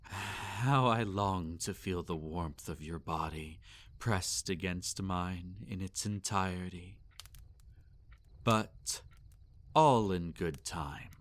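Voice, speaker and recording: A man's voice: low-pitched, takes it slowly. A man playing a possessive, sadistic male vampire in intimate roleplay audio, with a theatrical, teasing and condescending manner. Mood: hungry, seductive.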